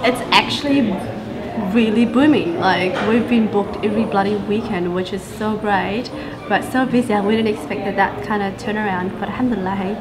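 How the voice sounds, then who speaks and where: A woman's voice: medium pitch, moderate pace, a crowd in the background. A young woman, answering interview questions in a café in a casual, playful way. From New Zealand. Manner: chatty and playful, laughs easily. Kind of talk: casual chat. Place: bright café by large windows. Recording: music playing under the talk.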